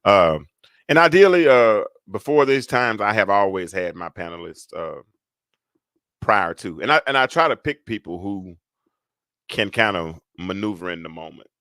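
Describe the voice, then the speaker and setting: A man hosts a casual live show, his voice very low and heavy. He rambles and restarts, leaning on fillers as he thinks aloud. The sound is close and dry, with no room around it. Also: animated, moderate pace, a bit bright, dry recording. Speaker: host. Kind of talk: casual live-stream talk.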